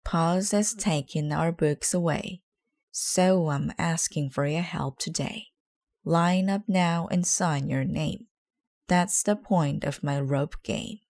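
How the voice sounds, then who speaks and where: A woman's voice: low voice, takes it slowly, a little mellow. A woman reading a children's storybook as a determined, spirited young schoolgirl, speaking in an earnest, rallying way. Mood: chanting. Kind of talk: children's storybook read aloud.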